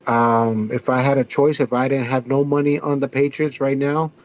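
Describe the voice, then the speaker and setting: A man's voice: mid-range voice, level tone, moderate pace. Hesitant man, muffled podcast sound. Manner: hesitant, many ums and uhs. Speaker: podcast co-host. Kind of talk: casual chat about sports bets.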